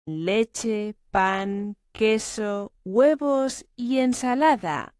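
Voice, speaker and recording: A woman voicing Clara, a friendly housemate, speaking slowly and clearly in a scripted lesson dialogue. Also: medium pitch, darkish tone, no room sound, studio quality. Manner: slow, clear, carefully enunciated. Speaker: learner dialogue character.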